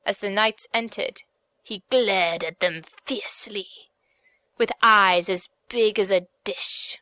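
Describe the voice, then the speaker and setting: A woman's voice: mid-range voice, slow, bright, forceful tone, dry recording. A woman reading scripted storybook narration as an audiobook narrator.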